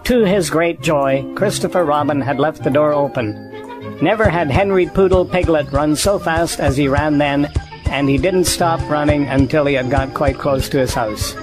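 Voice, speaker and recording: A man's voice: a little high, normal speed. A man narrating a children's storybook audio drama in a scripted reading style. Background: music.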